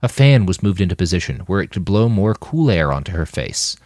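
A man's voice: low voice, very animated, a little fast, a little mellow, no echo. A man reading scripted fiction as an audiobook narrator. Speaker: author narrating his novel.

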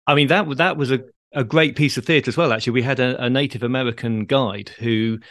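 A British man with a mid-pitched voice, recorded dry with hardly any room sound. He chats as an interview host, explaining and asking questions in a conversational way. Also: fast talker, a bit bright, no room sound. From United Kingdom. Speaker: podcast host.

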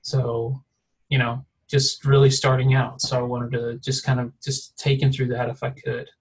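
Man telling a story in a flat voice. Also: medium pitch, normal speed, slightly rough, rough recording. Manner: rambling, many fillers and restarts. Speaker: design mentor guest. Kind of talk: casual podcast storytelling.

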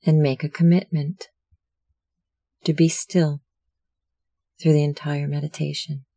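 A meditation instructor narrating a guided meditation in a measured, soothing, instructional manner. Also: mid-range voice, little pitch movement, gravelly, warm tone, dry recording.

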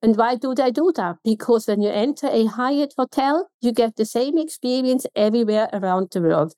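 A woman's voice: on the high side, animated, normal speed, mellow, no room sound. Emphatic Swiss woman with a German accent. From Switzerland. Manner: emphatic, pointed rhetorical questions. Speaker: guest consultant. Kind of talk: podcast interview.

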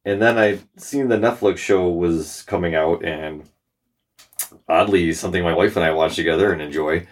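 Deep-voiced male co-host, loose and jokey, casual swearing. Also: normal speed. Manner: joking, laughs often, swears casually. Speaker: podcast co-host. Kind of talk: casual book club chat.

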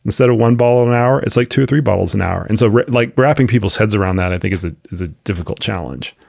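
A man's voice: fairly low pitch, quick pace, gravelly, a little mellow, no echo, clean studio sound. A man hosting a podcast, talking casually and explaining at length with many restarts, sniffling from a cold. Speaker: podcast host. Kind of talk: casual chat.

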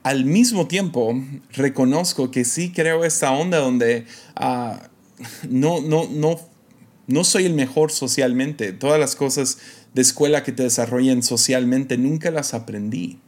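A man's voice: mid-range voice, unhurried, darkish tone. Man with an american accent, reflective. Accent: American English. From Mexico. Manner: hesitant, many fillers and restarts, light laughs. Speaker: podcast host. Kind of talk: casual reflective monologue.

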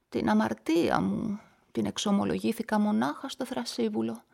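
An actress narrating as a guilt-ridden, pious spinster in a radio drama, in a hushed, confessional whisper. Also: female voice, mid-range voice, gravelly. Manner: tense, confessional, anxious. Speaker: first-person narrator of a crime story.

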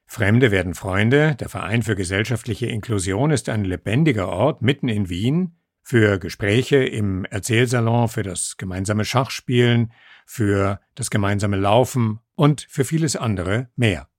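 A male reporter reading a scripted feature narration in a measured, even way. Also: lowish voice, dry recording, studio quality.

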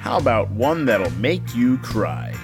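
Guy doing a jokey podcast promo, mid pitch, guitar music behind him. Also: big pitch swings, slow. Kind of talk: comedic scripted promo.

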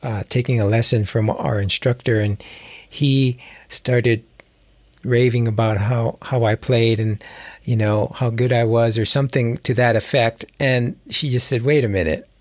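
A man's voice: medium pitch, fairly even intonation, unhurried, no echo. A man from Hawaii telling stories casually as a guest musician and teacher, reflective and often rephrasing as he goes. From Hawaii, United States. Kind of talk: casual interview storytelling.

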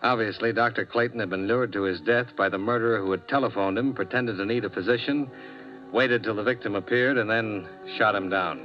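A clipped, hard-boiled insurance investigator, voiced by a man in a vintage radio play. His voice sits low and speaks in short, terse bursts. The old broadcast recording sounds dull, with music faint underneath. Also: a little fast, close to an everyday voice. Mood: narrating. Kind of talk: 1950s radio drama acting and narration.

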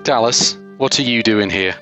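A man's voice: fairly low pitch, normal speed, bright, forceful tone, faint music behind. A man playing a weary, exasperated authority figure questioning someone in a dramatised fan fiction reading. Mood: bewildered.

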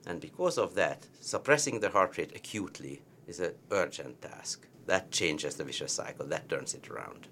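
A man's voice: low-pitched, animated, normal speed, few pauses, a bit bright, a little hiss or hum. A man with a heavy Hungarian accent, a cardiologist explaining treatment with emphatic repetition of key points. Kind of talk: medical teaching discussion.

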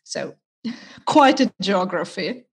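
A woman from Ukraine whose first languages are Russian and Ukrainian, a language teacher speaking as a podcast guest in a measured, explanatory way with frequent pauses. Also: mid-range voice, animated. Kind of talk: podcast interview.

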